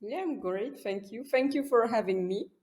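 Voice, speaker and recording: A young woman from Belgium with a Belgian French accent, a native French speaker, talking as a psychiatrist guest on a podcast, softly and with many pauses. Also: on the high side, animated. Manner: soft-spoken, searching for words with frequent "uh"; laughs lightly while speaking. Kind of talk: casual interview talk.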